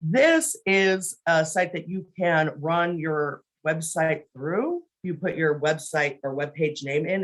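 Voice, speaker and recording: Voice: mid-range voice, fairly bright tone. A presenter giving an informal screen-share training on a video call, talking conversationally and often checking in with the listeners by saying "right?".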